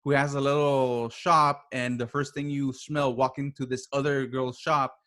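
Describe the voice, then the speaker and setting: Male podcast guest, average pitch, informal and conversational, halting as he works through thoughts and anecdotes. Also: level tone, fairly bright tone. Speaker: podcast guest, writer and voice actor. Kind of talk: casual conversation, reflective anecdotes.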